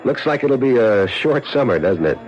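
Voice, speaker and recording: A man's voice: medium pitch, animated, normal speed, close to an everyday voice. An actor playing a scheming, cocky small-time swindler who tells his own story in a 1970s radio drama, with a smug, hardboiled delivery. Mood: casual, sly. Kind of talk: 1970s radio drama acting with first-person narration. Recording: muffled 1970s radio broadcast recording.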